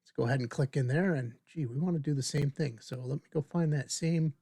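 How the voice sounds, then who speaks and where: A man's voice: a little high, fairly quick, dark tone, slightly rough, no echo. Middle-aged man, dry close-miked tutorial voice. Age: 50s. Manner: informal, thinking aloud while demonstrating. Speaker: video tutorial host. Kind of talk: casual screen-share software tutorial.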